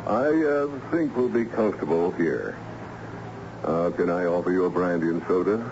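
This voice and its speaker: Pompous rich gentleman, very deep voice. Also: moderate pace. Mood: haughty, courteous. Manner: pompous, formal, condescending. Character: wealthy, haughty middle-aged gentleman, pompous and sure of himself. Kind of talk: old-time radio drama acting. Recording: muffled, noisy old radio broadcast.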